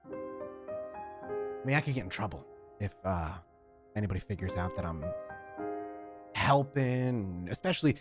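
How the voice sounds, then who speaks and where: A man's voice: lowish voice, animated, a little slow, pauses often, pitched below the performer's own voice. Guy doing a jittery young apprentice sorcerer, stammers and trips over his words, um and uh everywhere, faint music underneath. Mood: nervous, hesitant. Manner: stammering, hesitant, many fillers. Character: nervous young male apprentice blood sorcerer. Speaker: storyteller voicing a character.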